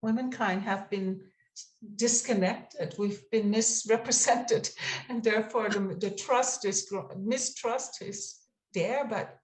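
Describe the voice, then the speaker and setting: Woman panelist, slow and halting, roomy echo around her voice. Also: medium pitch, steady tone. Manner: halting, repeats words. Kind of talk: online panel discussion. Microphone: video call.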